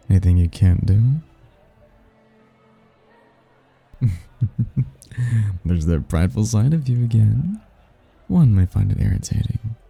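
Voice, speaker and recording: A man playing a suave, seductive male demon in an intimate ASMR roleplay, teasing and flirtatious, with a husky, gravelly murmur. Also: dark tone, exaggerated voice. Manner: slow, flirtatious, breathy murmurs with low chuckles.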